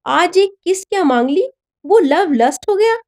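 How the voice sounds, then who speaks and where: A woman's voice: very high voice, lively intonation, a little slow, a little mellow, pitched above the performer's own voice. A woman voicing a pushy, lovesick teenage schoolboy who pleads insistently, in a story reading. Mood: defensive, indignant.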